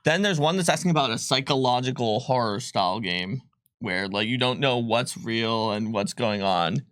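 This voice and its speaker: A man co-hosting a game design live stream, talking casually with many pauses and fillers. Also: medium pitch, no echo, studio quality. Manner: relaxed, hesitant, many fillers.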